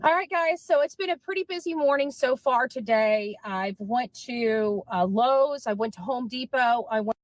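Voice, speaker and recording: A woman's voice: a little high, lively intonation, moderate pace, few pauses, dry recording, low-quality recording. A woman giving casual on-location updates to viewers as an amateur investigator.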